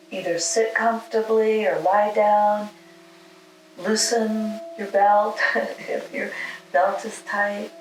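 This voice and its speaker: A woman leading a guided meditation, giving soothing, gentle instructions in short, spaced phrases. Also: medium pitch. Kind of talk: guided meditation, spoken slowly with long pauses. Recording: roomy, distant mic, music underneath.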